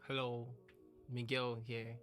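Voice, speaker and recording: A man's voice: mid-range voice, monotone, slow, a bit bright, faint music behind. A young man, talking casually and earnestly about a musician he admires, rambling and restarting his sentences. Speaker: music producer. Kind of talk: casual talk to camera.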